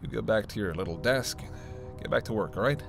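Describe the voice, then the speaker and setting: A man's voice: on the low side, expressive, fast, gravelly, over music. A man playing a weary, flirtatious vampire with teasing, sarcastic banter in an intimate roleplay audio drama. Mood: irritated, teasing. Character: sardonic, flirtatious male vampire, weary and hungry. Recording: noisy, ambient sound effects behind voice.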